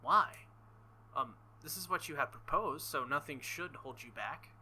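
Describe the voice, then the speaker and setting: A man reads the part of a young swordswoman from a visual novel, polite and formal. The voice is bright and clear-cut, calm and measured in its phrasing. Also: on the high side, a little hiss or hum, heavily acted. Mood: calm, questioning.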